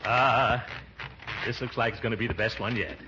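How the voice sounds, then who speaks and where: An actor playing a pompous, jovial middle-aged uncle in a theatrical 1940s radio comedy style. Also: male voice, mid-range voice, steady tone, moderate pace, music in the background, natural voice. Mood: coy, self-pleased. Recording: muffled, noisy 1940s radio recording.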